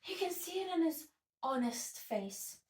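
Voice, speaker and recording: A woman's voice: extremely high pitch, very animated, measured pace, pitched above the performer's own voice, heavily acted. A woman playing an opinionated, indignant young woman in a classical stage monologue, dramatic, emphatic and sardonic. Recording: echoey room, distant microphone.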